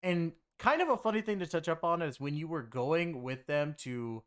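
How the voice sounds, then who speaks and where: Clear-voiced man in casual conversational review. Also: on the high side, lively intonation, normal speed. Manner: rambling, conversational, frequent filler phrases like "you know". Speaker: game reviewer and content creator. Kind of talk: casual video game story review.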